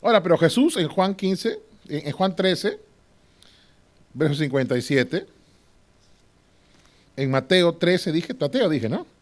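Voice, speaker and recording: A man teaching a Bible class in a preaching style. He often asks the class to agree and moves between loud and hushed delivery. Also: on the high side, very animated, slow pace, pauses often. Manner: swings from loud to hushed, with short laughs.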